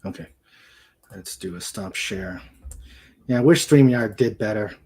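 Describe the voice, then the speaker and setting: A man chatting casually as co-host of a livestream, halting and often searching for his words. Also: on the low side, a little mellow.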